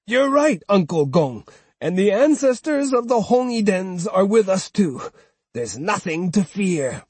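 A man voicing a shrill spiteful old woman. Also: big pitch swings, measured pace, a little mellow, husky, no echo, full character voice. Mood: scornful. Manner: taunting, scolding. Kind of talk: audiobook character voice.

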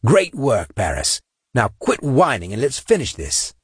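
A man's voice: medium pitch, wildly swooping pitch, measured pace, husky, dry recording, studio quality, pitched above the performer's own voice, heavily acted. A man voicing a commanding marine gunnery sergeant in an audiobook, with clipped, order-giving delivery. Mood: urgent.

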